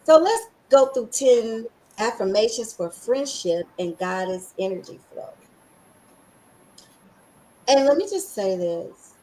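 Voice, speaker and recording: A woman hosting a podcast, with a scratchy voice, talking with excited emphasis and slowly repeating affirmations. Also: a little high. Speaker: podcast host.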